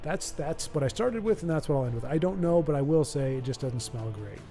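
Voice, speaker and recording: A man's voice: on the high side, animated, brisk, mellow, faint music behind. Chatty, stammering male stream host. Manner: chatty and rambling, stammers and repeats words. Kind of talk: casual livestream chat over card game play.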